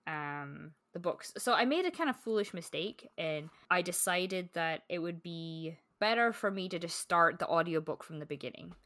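A woman with a Scottish accent, co-hosting a casual book podcast and telling stories in an animated, chatty way. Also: on the low side, normal speed, hardly any pauses, dry recording. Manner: animated, chatty storyteller with frequent fillers. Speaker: podcast co-host.

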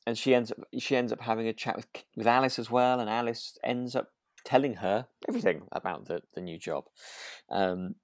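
A podcast co-host, talking thoughtfully and tentatively in relaxed discussion, with hesitant, circling phrasing. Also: medium pitch, brisk, no room sound, clean studio sound. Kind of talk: relaxed discussion of a radio drama.

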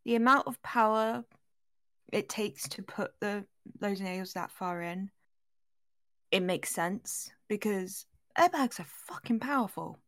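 A woman's voice: medium pitch, slow pace, fairly bright tone, no room sound, studio quality. A woman co-hosting a podcast, casually and agreeably discussing films with informal, sweary language. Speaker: podcast co-host reviewing horror films.

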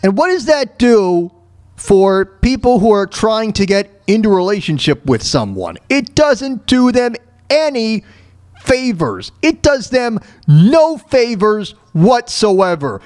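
High-pitched male talk host, animated intonation, slow pace, halting, repetitive, emphatic rant. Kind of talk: opinionated solo rant reacting to news clips.

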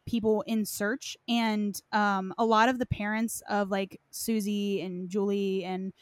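A woman's voice: mid-range voice, little pitch movement, measured pace, no echo. A woman hosting a casual discussion, speaking in a loose, conversational way with plenty of hedging. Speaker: host of a game lore discussion.

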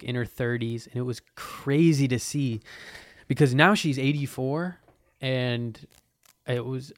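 A man's voice: mid-range voice, no room sound, studio quality. A man in his twenties hosting a podcast, talking in a loose, profane, meandering way with lots of restarts. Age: 20s. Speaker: podcast host. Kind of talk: casual rambling monologue.

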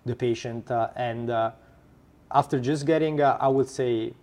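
A man with an Italian accent, a startup founder being interviewed, who talks haltingly with many fillers and restarts. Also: mid-range voice, fairly even intonation, darkish tone. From Italy. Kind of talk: podcast interview.

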